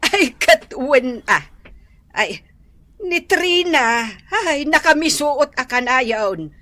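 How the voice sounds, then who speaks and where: Natsismosa a nana, naraniag ti timek. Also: female voice, sits high, expressive, takes it slowly, sharp tone, no echo, heavily acted. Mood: gossiping. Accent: Ilocano. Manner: chatty, gossipy. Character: chatty, gossipy older market vendor auntie. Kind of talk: Ilocano comedy radio drama acting.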